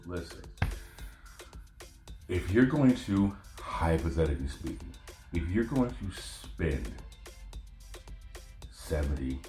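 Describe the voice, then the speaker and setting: A man's voice: very deep voice, darkish tone, roomy sound. A man from Northern California hosting a podcast, talking casually in a blunt, profane, conversational rant. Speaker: podcast host. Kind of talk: casual monologue.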